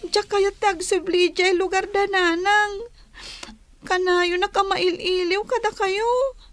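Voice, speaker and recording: A woman's voice: very high-pitched, steady tone, takes it slowly, a bit bright, soft background music, full character voice. A woman playing a young schoolgirl, pleading and feisty, in a radio drama. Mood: tearful pleading.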